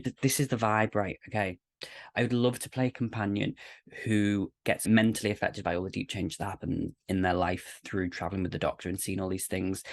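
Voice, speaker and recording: A man's voice: lowish voice, vocal fry, no room sound. A man who podcasts and acts, giving casual, chatty interview answers. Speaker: cast member, podcaster.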